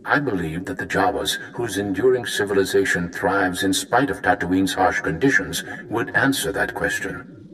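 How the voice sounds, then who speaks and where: An actor playing a grave, visionary Jedi master speaking a measured, portentous recorded message in a video game. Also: male voice, sits low, fairly bright tone, reverberant, low-quality recording. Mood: solemn, foreboding.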